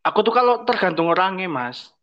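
A man's voice: high voice, big pitch swings, fairly quick, a bit bright. A young man, chatting casually as a podcast co-host with short, easygoing, teasing replies. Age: young adult. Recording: dull lo-fi podcast audio.

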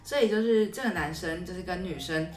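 A woman's voice: lowish voice, fairly quick, soft background music. A woman co-hosting a podcast, explaining things in a conversational way with repeated connecting words and agreements. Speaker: podcast co-host. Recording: roomy echo, slightly distant mic.

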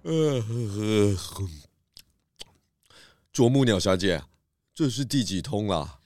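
A man's voice: lowish voice, animated, takes it slowly, darkish tone, no echo, studio quality. A man voicing a chubby, easygoing, sleepy pig detective in a children's audio drama, with a hesitant manner. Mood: sleepy, unbothered.

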